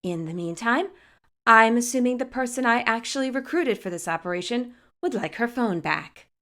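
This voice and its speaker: A woman's voice: on the high side, expressive, normal speed, sharp tone, pitched above the performer's own voice. A woman voicing a guarded, awkward teenage girl hacker with a dry, hesitant manner in a fan fiction reading.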